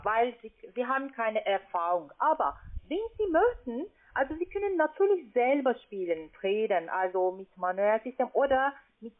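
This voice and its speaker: A non-native woman with a foreign accent giving a halting phone sales pitch as a call-centre agent. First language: not German. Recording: thin, band-limited phone call.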